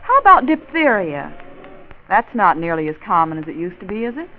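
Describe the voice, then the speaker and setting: Expressive 1940s radio-drama doctor's wife. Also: female voice, lowish voice, brisk, faint music behind. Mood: curious. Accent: American. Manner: polite, questioning, expressive. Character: doctor's concerned, polite, inquisitive wife. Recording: tinny, narrow-band 1940s radio recording.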